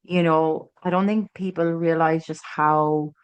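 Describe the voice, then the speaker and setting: A woman in her late thirties with a County Kerry Irish lilt tells her story as a podcast guest. Her voice sits low, and she talks in a loose, chatty way, with plenty of "like" and "um" as she goes. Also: fairly even intonation, measured pace, smooth, highs cut off. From Ireland. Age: late 30s. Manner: chatty, warm storyteller with frequent "like" and "um". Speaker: guest author. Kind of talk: casual podcast interview. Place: studio in person with host.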